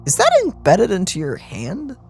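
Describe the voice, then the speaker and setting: A man's voice: high-pitched, wildly swooping pitch, moderate pace, vocal fry, darkish tone, husky, soft background music. A man giving casual, wry and sarcastic let's play commentary as he games. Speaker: gaming channel host.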